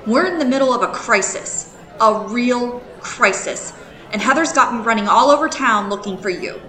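A woman's voice: fairly high pitch, lively intonation, moderate pace, pushed and bright, reverberant, some chatter behind, poor audio. An actress playing a stern, protective woman who speaks bluntly and sarcastically in an audio drama. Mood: exasperated, firm. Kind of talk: modern audio drama acting.

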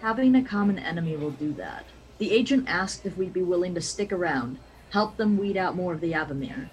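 Radio drama: resolute, composed mother and community leader played by a woman, slightly low register, faint noise on the recording. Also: lively intonation, brisk, lightly acted, low-quality recording. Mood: calm, resolute. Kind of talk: amateur radio drama acting.

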